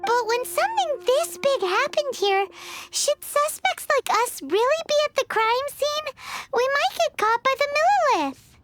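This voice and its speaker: A woman's voice: very high voice, a little slow, over music. A woman voicing a small, chirpy, cheeky childlike fairy companion in a video game. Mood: puzzled, wary. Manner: excitable, refers to self in third person. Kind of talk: video game cutscene voice acting.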